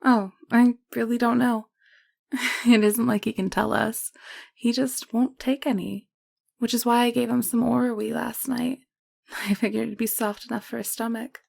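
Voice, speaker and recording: A woman acting as a tender, protective motherly nurse in an audio roleplay, gentle and soothing, often dropping into whispers. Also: on the high side, flat delivery, normal speed, gravelly, dry recording. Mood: fond, playful. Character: tender, protective motherly nurse, cooing over a foundling child.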